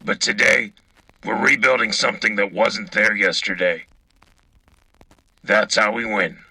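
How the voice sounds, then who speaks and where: A gruff, war-weary radio correspondent, played by a man in a wartime drama. The voice is low and raspy, with a hard, forceful edge. The delivery is terse, grim and dry. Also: fairly even intonation, measured pace, no echo, exaggerated voice, poor audio. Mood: grim resolve. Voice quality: gravelly, raspy. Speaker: radio co-host. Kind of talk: wartime radio news acting.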